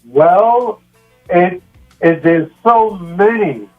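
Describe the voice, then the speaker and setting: Slow, halting man in his seventies. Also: high voice, animated, narrow, tinny audio, soft background music. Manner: halting, deliberate phrasing with long pauses. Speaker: guest, yoga practitioner. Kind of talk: reflective conversational talk.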